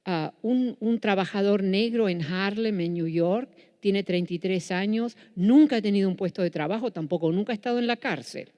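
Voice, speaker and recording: Mellow-voiced woman with Buenos Aires accent. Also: mid-range voice, moderate pace. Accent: Buenos Aires Spanish. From Netherlands. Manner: self-correcting, with frequent restarts. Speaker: lecturer. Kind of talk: public lecture. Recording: reverberant hall.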